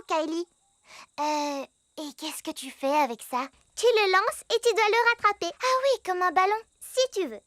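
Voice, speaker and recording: A performer voicing a bright, curious young animal child in a preschool cartoon. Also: extremely high pitch, no echo, exaggerated voice.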